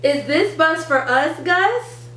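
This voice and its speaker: A woman's voice: very high-pitched, lively intonation, takes it slowly, sharp tone, heavily acted. A woman voicing an eager, questioning child in a picture-book read-aloud. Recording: roomy, distant, faint background noise.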